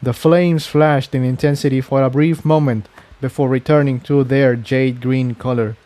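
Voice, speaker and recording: A man reads a scripted adventure tale aloud as its narrator. His voice sits at a middle pitch. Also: steady tone, moderate pace, dark tone, no room sound. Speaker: fan fiction narrator. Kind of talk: scripted story narration, audiobook reading.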